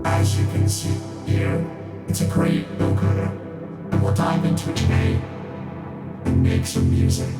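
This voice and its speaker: A man's voice: measured pace, long pauses, music in the background. A man speaking through a vocoder as a friendly synthesizer robot, with a synthetic, chord-toned voice in a casual demo. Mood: upbeat, demonstrative. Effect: vocoder robot filter.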